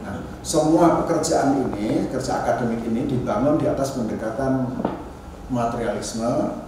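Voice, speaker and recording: A man giving an informal academic lecture as a university teacher, halting and restarting, often adding tag questions. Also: medium pitch. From Indonesia. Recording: very echoey room, distant mic, faint noise.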